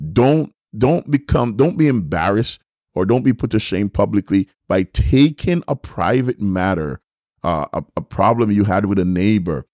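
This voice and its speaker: A middle-aged man giving sermon-like Bible teaching, speaking deliberately and repeating his points for emphasis, close to a microphone. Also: deep voice, darkish tone, dry recording. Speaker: bishop and host of a church teaching show. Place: small furnished room, indoors. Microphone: microphone on a stand, close in front.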